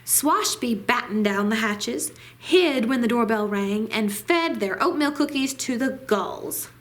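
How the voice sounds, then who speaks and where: A woman reading a children's picture book aloud at storytime, with deliberate, dramatic phrasing. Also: fairly high pitch, expressive, a little hiss or hum. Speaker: library storytime reader.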